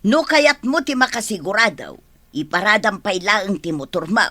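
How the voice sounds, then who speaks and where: A woman's voice: medium pitch, lively intonation, moderate pace, sharp tone. A woman with an Ilocano accent playing a nagging, gossipy village woman in a comedy radio drama.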